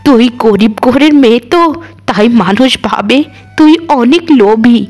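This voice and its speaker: A woman voicing a gentle, proud, poor village mother in a cartoon, earnest and consoling. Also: on the high side, moderate pace, pitched below the performer's own voice, lightly acted. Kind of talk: cartoon voice acting.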